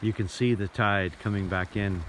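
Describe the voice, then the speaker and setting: A man's voice: fairly low pitch, fairly even intonation, normal speed, a little hiss or hum. A man hosting a travel documentary, narrating casually as he explores.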